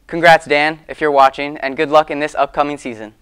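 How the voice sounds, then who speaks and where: A teenage student anchor introducing news stories from a script. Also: male voice, fairly high pitch, level tone, a little fast, a bit bright, clean tone.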